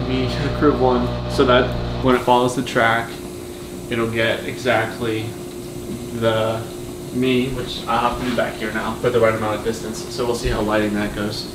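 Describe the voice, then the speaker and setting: A man's voice: medium pitch, noisy background. A young man chatting casually to camera as a filmmaker, rambling in a slangy, self-deprecating way. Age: young adult. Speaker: vlogger and filmmaker. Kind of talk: casual behind-the-scenes video chat. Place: small home kitchen and living room, indoors. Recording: roomy echo, off-mic, music behind.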